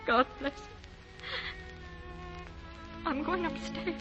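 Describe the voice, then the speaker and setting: A homely, tender older American widow in a 1940s radio drama. Her voice is a little high and plainspoken. The vintage recording is dull, with faint music. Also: slow pace. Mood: wistful.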